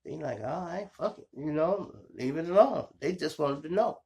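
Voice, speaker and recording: A man with a Chicago accent and a gravelly voice, telling street stories casually to his viewers in slangy, profane talk. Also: on the high side, moderate pace. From Chicago, United States. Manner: animated retelling, slangy, profane, acts out dialogue and sound effects. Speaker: storytelling podcast host.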